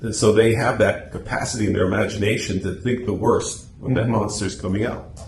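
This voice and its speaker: A man speaks informally to a club, explaining and telling anecdotes. His voice sits on the low side, in an echoey meeting room with faint noise behind him. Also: fairly even intonation, a little fast, a little mellow. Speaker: guest speaker, radio drama society officer. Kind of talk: informal club presentation and discussion.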